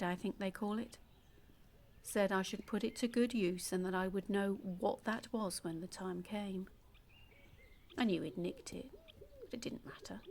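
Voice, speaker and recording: An actress playing a damaged, bitter woman in an audio play monologue, confiding and quietly menacing, often dropping close to a whisper. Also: mid-range voice, close to an everyday voice.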